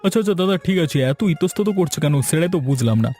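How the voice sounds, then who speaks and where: A man's voice: high-pitched, expressive, rapid-fire, dark tone, soft background music. A man voicing an eager, talkative younger village man in a cartoon, with a pleading manner. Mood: earnest. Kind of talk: cartoon dialogue acting.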